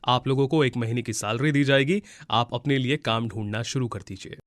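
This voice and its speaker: A man's voice: medium pitch, normal speed, dry recording, natural voice. A man voicing a formal factory manager in a story, speaking in an official announcing manner.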